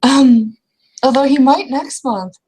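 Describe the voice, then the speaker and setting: A woman playing an anxious young female restaurant manager in a roleplay, speaking in a hesitant, halting way. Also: high voice, a little mellow, husky, voice pushed up, full character voice. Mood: stressed, worried. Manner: hesitant, halting, fragmented speech.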